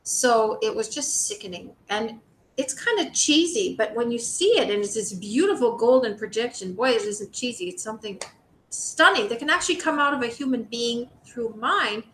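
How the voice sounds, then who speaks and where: A woman with a fairly high voice records herself in a roomy, echoing space with clear background noise. She talks in a loose, informal spiritual monologue, emphatic and indignant, and swears at times. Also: a bit bright. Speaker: video creator speaking to the public. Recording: roomy echo, noisy low rumble.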